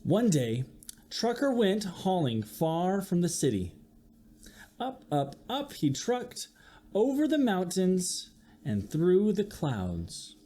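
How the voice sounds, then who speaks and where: A teacher reading a picture book aloud to young listeners, expressively and with chatty asides. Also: mid-range voice. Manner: slow, expressive, with acted-out sound effects. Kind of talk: children's story read-aloud with asides to listeners.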